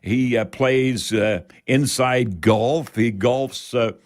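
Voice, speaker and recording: A man's voice: mid-range voice, slow. An older man with a Canadian accent hosts a home improvement radio show in a folksy, rambling way, repeating words for emphasis. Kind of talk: folksy live radio talk with product tips.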